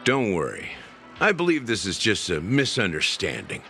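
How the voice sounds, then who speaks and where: An actor voicing a courteous, confident and reassuring security officer in a video game. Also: male voice, fairly low pitch, wildly swooping pitch, moderate pace, vocal fry, pushed and bright, soft background music, heavily acted. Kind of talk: scripted video game dialogue.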